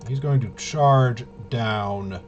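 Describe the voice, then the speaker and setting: A man thinking aloud through solo tabletop game commentary, casual and halting, often muttering to himself as he works things out. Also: medium pitch, a little mellow, highs cut off, slight background noise. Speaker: tabletop RPG player and presenter.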